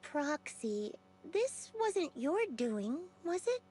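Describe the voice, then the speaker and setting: An actress playing a soft-spoken young woman in an anime video game, teasing and deliberate with a calmly menacing edge. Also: high-pitched, full character voice. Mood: curious.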